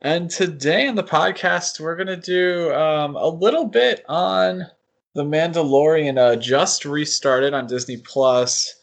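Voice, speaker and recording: A man co-hosting a podcast, discussing things casually in a halting, hedging way. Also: a little high, vocal fry. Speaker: podcast co-host.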